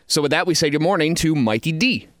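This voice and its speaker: A male interview host with a fairly high voice and a very dry, close sound. He chats informally and jokingly, often putting himself down as he asks his questions. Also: expressive, quick pace, fairly bright tone, dry recording. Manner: self-deprecating, informal. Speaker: radio show host. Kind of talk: casual, joking interview chat.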